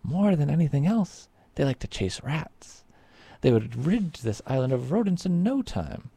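Man putting on an excitable merchant, eager, emphatic, raised pitch, gravelly edge. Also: measured pace, no room sound, exaggerated voice. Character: excitable male merchant traveler, earnest.

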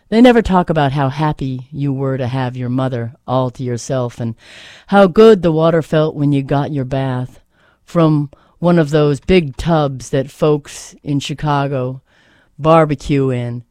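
A man with a fairly high, mellow voice hosts a poetry reading. He is reflective and casual, and tends to repeat a phrase for emphasis. The sound is dry, with hardly any room around him. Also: a little slow, no room sound. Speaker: host reading poems aloud.

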